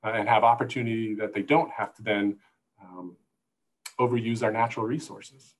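A middle-aged man explaining earnestly and haltingly in a conversational panel answer on a video call. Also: fairly low pitch, steady tone. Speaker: guest, zoo conservation manager. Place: indoor room at home or office, video call. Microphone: wired earphones on a video call.

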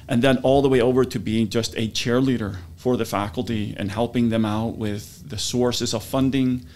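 A middle-aged man from Iceland answers interview questions into a studio mic in a small office. He speaks in a relaxed, thoughtful way and hesitates now and then. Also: mid-range voice, moderate pace. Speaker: university research administrator, guest. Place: small office meeting room. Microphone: studio mic with pop filter.

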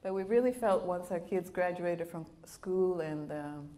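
A middle-aged woman with a slight foreign accent preaches from a church pulpit into a handheld microphone. She tells her life story in an animated, laughing way, with a voice pitched slightly low. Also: a little slow. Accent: foreign accent of Italian and Latin American upbringing. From Bolivia. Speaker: guest missionary speaker. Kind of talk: sermon with personal storytelling. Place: church auditorium with a stage. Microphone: handheld microphone at a pulpit, wide camera.

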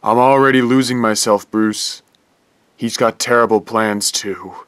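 An actor playing a desperate former prosecutor who is losing himself, pleading gravely and urgently in an audio drama. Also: male voice, lowish voice, steady tone, unhurried, fairly bright tone, dry recording, clean studio sound.

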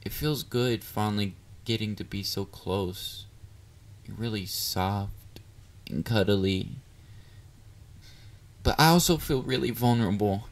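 A man playing a shy, clingy, excitable young catboy boyfriend in an intimate romantic audio roleplay, hesitant and easily flustered. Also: fairly low pitch, slow, pauses often. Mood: vulnerable. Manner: hesitant, vulnerable, flustered, with stammers.

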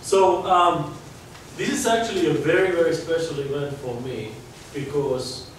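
A man's voice: on the high side, unhurried. Finnish-accented man lecturing in an echoey hall. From Finland. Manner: chatty, conversational, restarts phrases. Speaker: guest lecturer. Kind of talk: informal lecture to students. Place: large lecture hall, indoors. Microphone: far camera, no mic seen. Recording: echoey hall, distant mic, background noise.

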